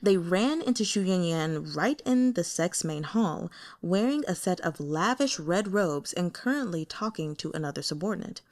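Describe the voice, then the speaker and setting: A woman's voice: lowish voice, animated, normal speed, hardly any pauses, a little mellow. A woman reading fiction aloud as an audiobook narrator in a scripted storytelling style.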